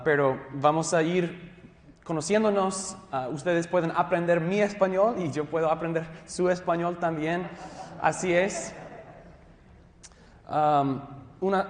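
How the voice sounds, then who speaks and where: A man with an American English accent teaches a theology class, his voice sitting a little high. He is friendly and self-deprecating, often stopping to restart a word. The sound rings with the long reverb of a large hall. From Southern California, USA. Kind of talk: classroom lecture.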